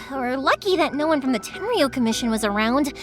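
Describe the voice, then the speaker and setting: A woman playing a bright, friendly, energetic young woman in an anime game, chatty, girlish and expressive. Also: sits high, moderate pace. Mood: confiding. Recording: music behind the voice.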